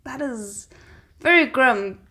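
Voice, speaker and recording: A woman giving casual reaction commentary as a host, thinking aloud with expressive exclamations. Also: high-pitched, slow. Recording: noticeable background noise.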